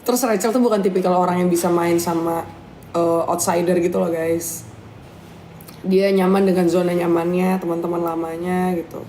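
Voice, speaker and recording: Young woman, laid-back co-host, soft dark tone, faint hiss in the room. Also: on the low side, normal speed. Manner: relaxed, agreeable, slangy. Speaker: live stream co-host. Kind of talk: casual chat while eating. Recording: echoey room with faint noise. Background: clinking cutlery and dishes.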